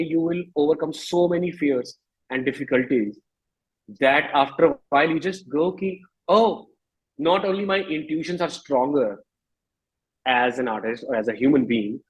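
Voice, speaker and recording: A man's voice: a little high, slightly rough, low-quality recording. An Indian-accented man in his thirties speaking informally and reflectively in a casual interview, with plenty of verbal tics like "you know". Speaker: singer-songwriter being interviewed.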